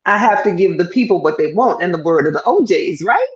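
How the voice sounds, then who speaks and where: A middle-aged woman co-hosting a live talk stream, animated and playful, laughing often. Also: lowish voice, brisk, lo-fi sound. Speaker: co-host. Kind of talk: lively live-stream chat.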